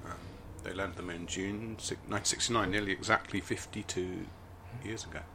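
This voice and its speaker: A man in his fifties co-hosting a podcast, chatting casually and jokily with a husky voice after a late night. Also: deep voice, steady tone, brisk, noisy background. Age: 50s. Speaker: podcast co-host. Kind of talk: casual chat. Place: garden summer house.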